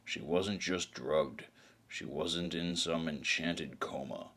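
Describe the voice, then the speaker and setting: An actor playing a weary, bitter hardboiled private detective, narrating in a deadpan, brooding, sardonic way. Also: male voice, very deep voice, slow, vocal fry, heavily acted. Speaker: narrator and lead of a noir audio drama. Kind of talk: hardboiled noir narration and dialogue.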